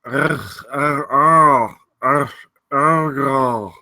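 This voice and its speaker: A man's voice: medium pitch, steady tone, extremely slow, sharp tone, dry recording, exaggerated voice, low-quality recording. A man playing a tongueless, scarred hermit hunter who communicates in anguished, wordless moans in a drama table read. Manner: wordless moaning vocalisations.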